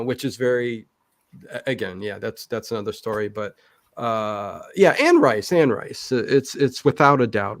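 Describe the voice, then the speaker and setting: A man's voice: medium pitch, no echo. A man chatting casually as an interview guest, rambling and hesitant, often repeating words as he works out a thought. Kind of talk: casual personal chat.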